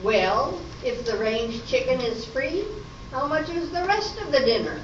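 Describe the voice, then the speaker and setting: A woman playing a fussy, chatty, dramatic lady in an amateur radio comedy, theatrical and deliberate. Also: sits high, expressive. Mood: indignant. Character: dramatic, chatty women: fussy diner, gossipy witness. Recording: noisy echoey room, distant muffled mic.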